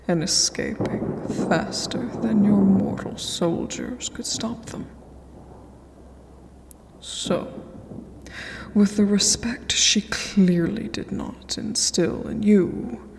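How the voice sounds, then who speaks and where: A haughty, ageless vampire queen for a fantasy ASMR roleplay. Her words come extremely slowly in a regal, condescending half-whisper with a crackling fry. A long, cavernous reverb surrounds her. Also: medium pitch. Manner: formal, measured, imperious, breathy half-whisper.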